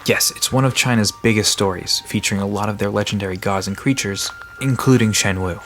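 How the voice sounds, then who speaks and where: A man's voice: fairly low pitch, brisk, a bit bright, a little hiss or hum, lightly acted. A man playing a friendly, nerdy guide to mythical creatures in an audio drama, explaining lore eagerly with hesitant asides. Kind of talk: conversational audio drama dialogue.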